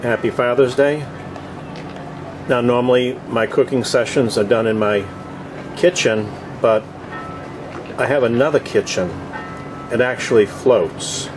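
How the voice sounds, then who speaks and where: A man giving a casual video tour in short, halting, plainspoken phrases, recorded outdoors on a boat deck with a handheld camera. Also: medium pitch, music in the background, rough recording. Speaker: host of a cooking vlog. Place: open deck of a boat on the water. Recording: outdoor ambient noise.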